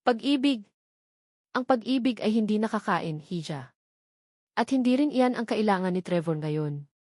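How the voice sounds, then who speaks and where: A cold, moneyed matriarch, as a female narrator puts her on in a romance audio story. She speaks calmly but sharply, full of contempt, in a dry recording. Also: medium pitch, normal speed, no echo, lightly acted. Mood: scornful. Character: cold, haughty, wealthy older mother, calmly cutting.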